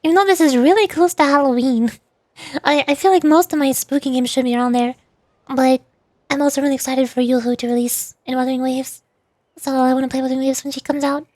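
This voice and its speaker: A woman's voice: high voice, fairly even intonation, fairly quick, a little mellow, no echo, clean studio sound. A woman livestreamer chatting casually to viewers, rambling and thinking aloud as she goes.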